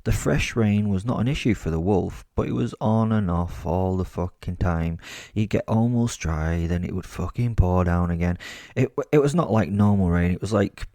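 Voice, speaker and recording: A man playing a bored, foul-mouthed werewolf, telling his story in a wry, conversational way for a dark comedy audio drama. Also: low voice, normal speed, hardly any pauses, no room sound, studio quality. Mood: wry storytelling, then bored, grumbling. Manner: conversational, wry, casually sweary.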